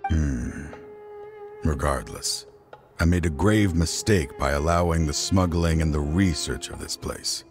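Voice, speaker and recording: A very low, weary voice of a dying older lawman, solemn and resigned. He speaks in measured, remorseful phrases as in a dramatic game scene, with faint music underneath. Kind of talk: dramatic video game voice acting. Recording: music behind the voice.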